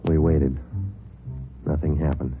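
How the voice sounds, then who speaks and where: A man's voice: rumbling low, flat delivery, takes it slowly. An actor playing a terse male police sergeant who also narrates, in clipped, matter-of-fact 1950s radio drama style. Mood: calm. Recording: tinny old radio broadcast with music.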